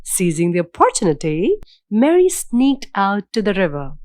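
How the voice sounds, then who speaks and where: A woman narrating a scripted children's fairy tale in a measured, dramatic storytelling manner. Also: mid-range voice, very animated, a little mellow, no room sound.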